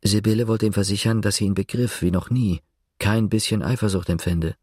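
An actor playing a reflective narrator writing his account, measured and ironic, in a German literary radio play. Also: fairly low pitch, fairly even intonation, a little mellow, slightly rough, no room sound, clean studio sound. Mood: dryly ironic.

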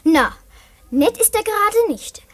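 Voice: very high voice, very animated, a little slow, fairly bright tone, no room sound, exaggerated voice. An actor playing a spirited, blunt young girl in a German children's radio play, with an outspoken, sceptical way of talking. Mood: angry.

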